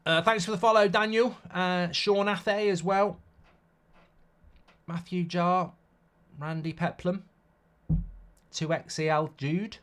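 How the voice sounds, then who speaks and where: British man with a slightly croaky voice. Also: sits high, fairly even intonation, slow pace, pauses often, a bit bright. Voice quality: slightly croaky, bunged-up nose. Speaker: host of a live football watchalong stream. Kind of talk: casual live commentary mixed with chat replies.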